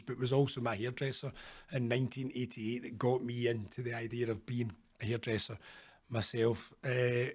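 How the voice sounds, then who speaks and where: A man hosting a podcast interview, chatty and friendly, with frequent restarts. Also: medium pitch. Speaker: podcast host. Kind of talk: casual interview.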